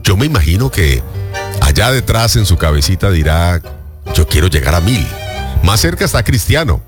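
Deep-voiced, animated male news host. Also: over music. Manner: expressive, animated delivery with filler pauses. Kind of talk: conversational sports news segment.

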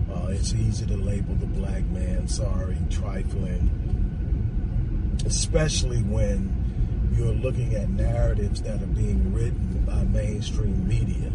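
A hoarse man gives an emphatic, off-the-cuff monologue on the move, repeating his rhetorical phrases. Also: medium pitch, recorded from a distance, heavy background noise. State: hoarse from talking. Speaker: vlogger giving social commentary. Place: on the move, outdoors near traffic.

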